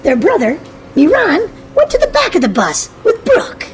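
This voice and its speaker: Woman, children's story read-aloud, expressive narration, very high voice, wide swooping pitch. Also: moderate pace, over music. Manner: expressive, animated reading.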